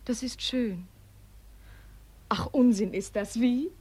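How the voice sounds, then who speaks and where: A lively, kind young woman in a radio drama. Her voice is high. She coaxes and teases with playful insistence. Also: takes it slowly, husky. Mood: teasing, tender. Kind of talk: German radio play acting.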